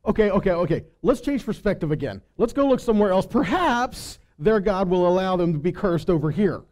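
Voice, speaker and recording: An exasperated ancient king , acted out by a male storyteller, wheedles and schemes in a raised, high pitch. He talks in casual modern slang, as in a lively retelling of a Bible story. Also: brisk. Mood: cajoling.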